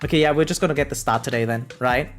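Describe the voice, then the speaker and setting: A game streamer chatting casually with viewers, excitable and sweary, reacting aloud and reading bits of game text. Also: mid-range voice, very fast, soft background music.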